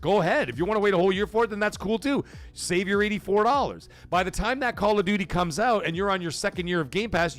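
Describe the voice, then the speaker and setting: A man hosting a gaming livestream, talking casually and emphatically to his chat, often checking in with his listeners as he argues his point. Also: sits high. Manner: emphatic, persuasive, keeps checking in with "okay?" and "right?".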